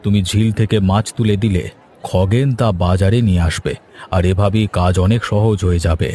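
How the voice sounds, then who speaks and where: A man voicing a paternal village landlord in a fairy-tale cartoon, with a measured, patronly manner. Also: on the low side, fairly even intonation, mellow, slight background noise, close to an everyday voice. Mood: pleased, encouraging. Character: paternal village landlord, encouraging, quietly self-interested.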